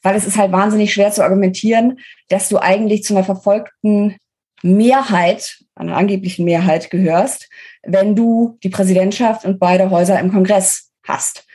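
A woman's voice: medium pitch, steady tone, normal speed, warm tone, husky. A woman speaking as an interviewed author, explaining things analytically in a conversational expert style. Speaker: interviewed guest, book author.

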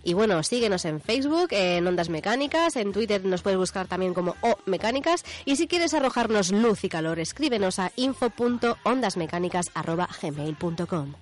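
A woman's voice: mid-range voice, expressive, fairly quick, hardly any pauses. A woman with an accent from Spain, co-hosting a science radio programme in a casual chatty style. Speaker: co-host of a science radio show. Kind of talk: casual chat.

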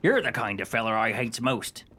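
A raspy, bloodthirsty pirate henchman. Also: mid-range voice, animated, normal speed, sharp tone. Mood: sly menace. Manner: mock-polite, rough pirate grammar. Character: Patch, bloodthirsty, sly, wheedling male pirate henchman. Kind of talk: comic pirate radio play acting.

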